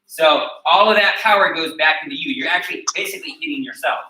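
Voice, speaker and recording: A high, very bright American male voice leads a martial arts class, questioning students and checking that each point landed. He is picked up from a distance in an echoey training hall. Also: fast talker, a little hiss or hum. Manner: instructive, checks in with "okay?" after each point. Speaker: taekwondo instructor. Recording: echoey room recorded from a distance.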